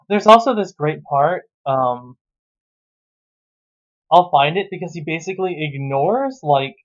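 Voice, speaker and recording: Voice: medium pitch, a bit bright, muffled recording. A sarcastic, exasperated reviewer who swears freely while giving casual commentary on a story.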